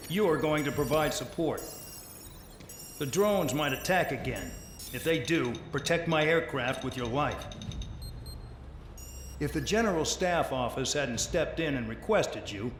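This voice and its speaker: An actor playing a stern, menacing air force commander of a penal base in a video game, curt and commanding. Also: male voice, fairly high pitch, lightly acted. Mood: threatening. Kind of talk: video game cutscene acting. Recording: roomy echo with some noise.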